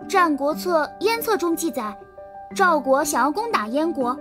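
A woman's voice: sits high, animated, normal speed. A woman voicing a proud, clever schoolgirl who confidently explains things in a children's audio drama. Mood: explaining. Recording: children's music underneath.

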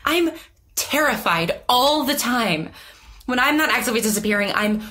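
An actress playing an anxious, lonely woman in her twenties, speaking haltingly and self-deprecatingly in a naturalistic audio drama. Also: a little high, lively intonation, sharp tone. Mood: distraught, terrified. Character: anxious, lonely young woman who vanishes through time.

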